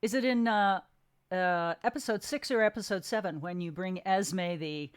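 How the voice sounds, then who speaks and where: A woman hosting a casual interview, putting questions and comments in an informal, halting way. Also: medium pitch, smooth.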